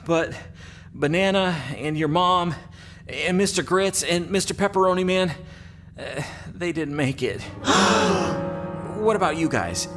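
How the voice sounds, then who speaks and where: An anxious rescued cartoon crewmate, acted by a man, talks in a high male voice with lively swings. He goes slowly, grateful and unsure, over faint music. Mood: shaken relief. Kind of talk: animated cartoon dialogue.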